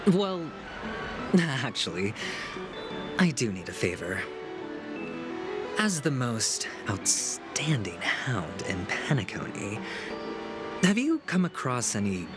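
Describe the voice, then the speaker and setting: A suave young game schemer with a very unhurried, lively, swinging delivery. He is playfully sardonic and smooth while under strain, with loud music playing behind him. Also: lowish voice. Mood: polite, sly. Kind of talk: video game voice acting.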